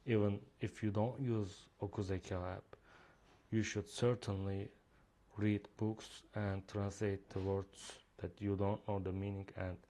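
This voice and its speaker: Slow, flat-voiced man with Turkish accent. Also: fairly low pitch. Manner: hesitant, many fillers. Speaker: app creator demonstrating his reading app. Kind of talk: screen-recorded software demo.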